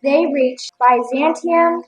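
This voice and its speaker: A woman giving measured, scripted narration for an audio play. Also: high-pitched, low-quality recording.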